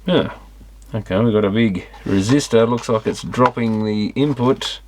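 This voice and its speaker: A man with a fairly low voice talks casually through an electronics teardown. He muses aloud in an unscripted way, working things out as he goes. There is some faint noise behind him. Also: unhurried. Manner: musing, thinking aloud. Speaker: electronics repair hobbyist presenting a teardown.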